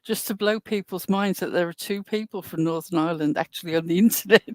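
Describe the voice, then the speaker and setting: A woman's voice: on the low side, fast talker, a little mellow, dry recording. A woman panelist in a casual chat, delivering dry, sarcastic remarks.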